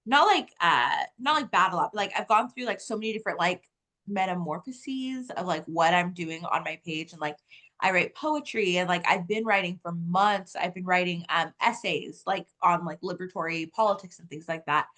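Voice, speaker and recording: A woman's voice: lowish voice, normal speed. Chatty woman with a casual flow. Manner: animated storytelling, heavy use of "like". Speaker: author guest. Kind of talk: casual interview chat.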